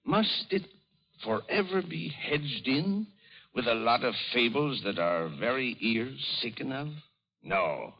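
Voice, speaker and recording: A man's voice: medium pitch, expressive, slow. Theatrical, proud middle-aged father. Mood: impassioned, defiant. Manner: declamatory, oratorical. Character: doting, defiant, freethinking father, proud and theatrical. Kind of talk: old-time American radio drama acting. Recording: dull, lo-fi vintage radio recording.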